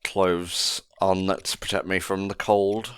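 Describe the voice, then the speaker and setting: A man's voice: deep voice, steady tone, measured pace, a bit bright, clear, resonant tone. A man casually playing a game, teasing his friends and muttering as he plays. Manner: easygoing, teasing, talks to himself as he plays. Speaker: player. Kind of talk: casual let's-play chat.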